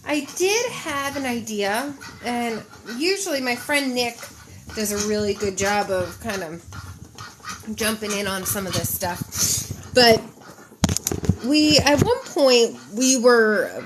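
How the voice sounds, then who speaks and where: A woman's voice: on the high side, animated, slow pace. Sniffly woman chatting on a noisy live stream. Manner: rambling and chatty, addressing viewers directly. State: sniffly from a head cold. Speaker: podcast host and mental health mentor. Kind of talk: casual live-stream chat.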